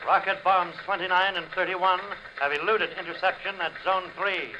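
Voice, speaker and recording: A man's voice: high voice, steady tone, moderate pace, close to an everyday voice. A man acting in a 1950s radio drama, playing an impersonal military operator announcing in clipped, procedural phrases. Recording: muffled, noisy old radio recording.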